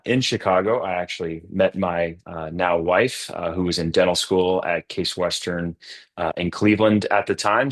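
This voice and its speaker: A middle-aged man from Massachusetts, talking casually as a podcast guest, relaxed with lots of fillers and restarts. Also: sits low. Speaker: podcast guest, coffee company co-founder. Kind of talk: casual interview chat.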